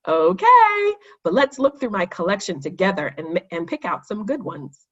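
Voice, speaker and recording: A woman's voice: medium pitch, big pitch swings, normal speed, fairly bright tone, highs cut off. A woman voicing a cheerful, cooperative schoolgirl in a children's storybook, upbeat and friendly. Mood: eager.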